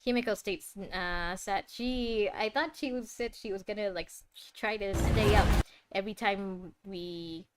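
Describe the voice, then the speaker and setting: A young woman giving casual, excitable live commentary as a streamer, often exclaiming. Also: mid-range voice, a little slow, a little hiss or hum. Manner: expressive, exclaiming. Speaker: streamer reacting to game trailers. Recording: loud game music behind. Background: game trailer music.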